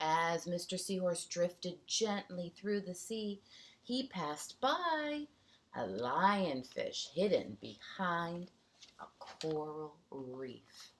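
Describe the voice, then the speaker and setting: A woman reads a children's storybook aloud in a teacherly, expressive way. Her voice sits a little low and swings widely in pitch, and she takes her time, pausing to explain things to young listeners.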